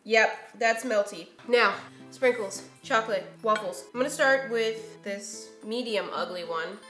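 A woman's voice: medium pitch, a little slow. A woman in her twenties hosting a casual, chatty baking vlog, with a self-deprecating, playfully exasperated way of talking. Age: 20s. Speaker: host of a baking video series. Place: home kitchen, indoors. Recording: roomy kitchen echo. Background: background music.

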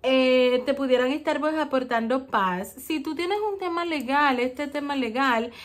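A woman giving a tarot reading for a zodiac sign, talking in a halting way with many fillers and checking in with the listener. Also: sits high. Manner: hesitant, many fillers and ¿ok? tags. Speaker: tarot reader.

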